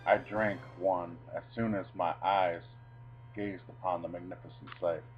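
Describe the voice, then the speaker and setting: A man with a low voice delivers his own short-story material in a deliberate, theatrical manner. The sound is dull and lo-fi, with faint guitar music playing behind him. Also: steady tone. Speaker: video creator reading own short stories. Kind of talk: dramatic reading of a comic short story.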